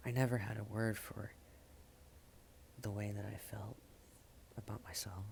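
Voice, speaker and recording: A man performing spoken-word slam poetry in a halting manner, with dramatic pauses, repeated phrases and hushed endings. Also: on the low side, fairly even intonation, creaky, a little hiss or hum. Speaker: slam poet.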